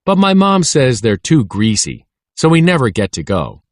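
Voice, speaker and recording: Cocky schoolboy played by a man, storybook dialogue, casual, eager, bouncy pitch, dry sound. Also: big pitch swings, moderate pace, no room sound, lightly acted. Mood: eager, chatty. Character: cocky, chatty schoolboy friend.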